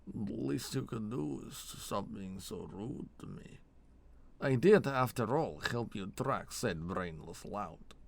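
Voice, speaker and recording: A man's voice: on the low side, lively intonation, slow pace, vocal fry, heavily acted. A man voicing a bulky, bearded tracker, squeamish and mildly reproachful, with formal wording and a thick put-on accent. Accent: put-on thick fantasy accent.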